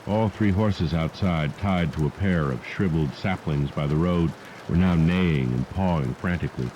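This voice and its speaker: A man reading a horror audiobook as a narrator, in a measured, solemn, literary delivery. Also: low voice. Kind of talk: scripted horror story narration. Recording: muffled degraded cassette tape with rain noise. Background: rain and storm sounds.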